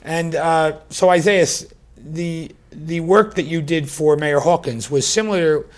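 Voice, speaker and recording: Higher-voiced man hosting a local interview. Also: a little slow. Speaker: mayor hosting a local show.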